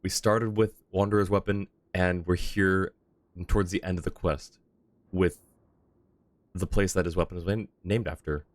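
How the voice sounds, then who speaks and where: A man's voice: sits low, steady tone, moderate pace, no echo. A man doing casual live game commentary as a streamer, playful and mock-dramatic as he chats to viewers.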